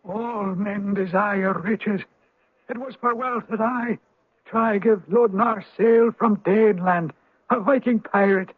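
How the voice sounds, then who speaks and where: An age-old spirit of the rock, wise and sceptical, declaiming in a radio play. The voice is high and rough-edged. It comes through a thin, echoey, phone-like recording. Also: male voice, fairly even intonation, slow pace, exaggerated voice. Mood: booming, knowing. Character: ancient male spirit of a rock, former Viking pirate, worldly-wise. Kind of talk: old-time radio drama acting.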